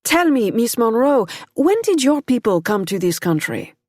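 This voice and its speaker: A woman putting on a suave French-accented nobleman who needles with polite mockery, pitched up and ringing out over her dry narration. The recording is close and dry. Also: sing-song, moderate pace, a little mellow, dry recording. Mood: cool, needling. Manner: cool, mocking courtesy.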